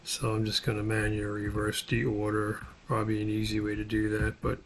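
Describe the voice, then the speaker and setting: A man with a gravelly, husky voice narrating an unscripted spreadsheet tutorial as an instructor, thinking aloud in a halting, step-by-step way. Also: fairly low pitch, monotone, slight background noise.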